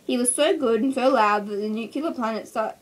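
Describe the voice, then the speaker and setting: A woman's voice: on the high side, a little fast. A young woman informally reading a school story aloud to friends in a giggly manner. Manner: giggly, breaks off to banter with listeners. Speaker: story reader. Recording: clearly noisy home recording.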